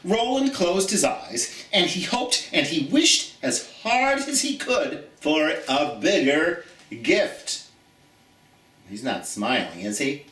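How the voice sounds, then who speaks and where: Theatrical storytime host, man with swooping pitch. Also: very animated, slow pace, fairly bright tone. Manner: theatrical, folksy, addresses listeners directly. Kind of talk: chatty, expressive picture-book read-aloud. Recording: roomy echo, distant from the mic.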